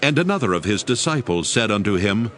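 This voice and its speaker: A man's voice: lowish voice, sing-song, brisk, fairly bright tone, some chatter behind. A man narrating dramatized scripture in a formal, solemn storytelling manner. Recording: music behind the voice.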